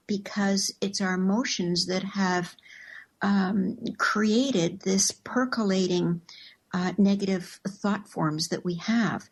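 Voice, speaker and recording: A woman speaking as a radio show guest, explaining in a thoughtful, measured, conversational way. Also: medium pitch.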